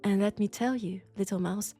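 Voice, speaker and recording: A woman with a French accent playing an elegant, condescending vampire scholar in an intimate roleplay audio drama, speaking deliberately and seductively. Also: medium pitch, fairly even intonation, natural voice. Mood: haughty, smooth. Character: elegant, sophisticated, coolly curious female vampire scholar. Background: faint dark ambient music.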